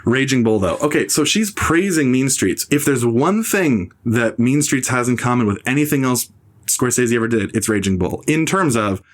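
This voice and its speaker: A young man hosts a casual podcast, sarcastic and opinionated. His voice sits mid-range, and he slips into high, mocking impressions of the people he talks about. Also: lively intonation, moderate pace. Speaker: podcast host. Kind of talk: casual opinionated film talk.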